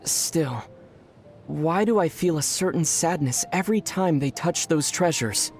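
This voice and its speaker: A man playing a calm, thoughtful young adventurer in a video game, speaking in a reflective, gently teasing manner. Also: fairly high pitch, measured pace, faint music behind. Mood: pensive. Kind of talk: video game character voice acting.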